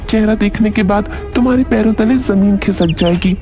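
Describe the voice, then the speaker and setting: A man's voice: high-pitched, normal speed, dark tone, soft background music, pitched above the performer's own voice. A man voicing a coy, guarded young woman in a cartoon story.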